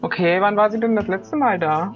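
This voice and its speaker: A friendly, generous pirate played by a woman in an improvised adventure. The voice is mid-pitched, casual and upbeat. Faint music plays in the background. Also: moderate pace, highs cut off, lightly acted. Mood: inquiring. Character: friendly, generous pirate searching for missing captain. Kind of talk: improvised role-play.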